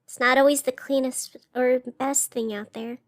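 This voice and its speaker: A woman playing a gentle, sincere night radio host in an audio drama, speaking in an earnest, encouraging, reflective way. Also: sits high, fairly even intonation, moderate pace, natural voice.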